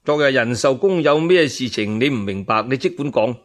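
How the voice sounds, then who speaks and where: A man's voice: mid-range voice, lively intonation, normal speed, natural voice. A man voicing a guilty, blustering young emperor in a radio storytelling drama, haughty, defensive and evasive. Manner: evasive, curt denials.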